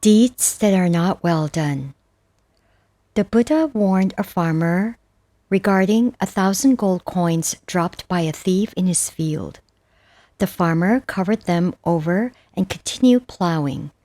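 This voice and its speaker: A woman narrates scripted spiritual texts at a very unhurried pace. Her voice is fairly low and mellow, with a solemn, measured delivery. The sound is dry and close, free of room reflections. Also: expressive, dry recording. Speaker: audiobook narrator. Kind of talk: scripted narration of Buddhist verses and stories.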